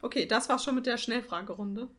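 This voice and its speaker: A woman hosting an interview, friendly and laughing often, asking questions at an unhurried pace. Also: on the high side, soft background music. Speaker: interview host. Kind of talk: casual interview chat.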